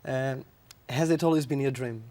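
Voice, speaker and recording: A man whose first language is Portuguese asks questions in English as a television interviewer. He phrases his questions haltingly, with fillers and pauses. His voice sits at an ordinary middle pitch for a man. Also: warm tone.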